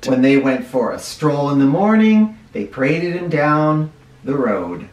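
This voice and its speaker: A man's voice: fairly high pitch, measured pace. A man who teaches first grade, reading a children's picture book aloud and stopping now and then to explain words to young listeners. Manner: expressive, stops to explain words to kids. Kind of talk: children's read-aloud with asides. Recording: roomy echo, mic at a distance.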